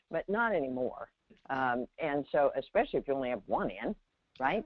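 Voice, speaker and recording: A woman's voice: deep voice, no room sound. A woman teaching conversationally on a spiritual call, explaining with emphatic illustrations. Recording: tinny, dry phone line.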